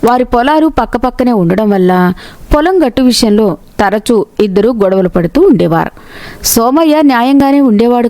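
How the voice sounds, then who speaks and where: Female narrator for moral stories, highish pitch, very mellow tone, close dry sound. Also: no echo. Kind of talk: scripted moral-story narration.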